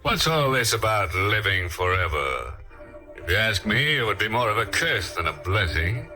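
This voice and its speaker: A man's voice: on the low side, sing-song, normal speed. A man hosting an old-time radio show in a theatrical, wisecracking, mock-macabre manner. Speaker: host. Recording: old radio broadcast, faint music.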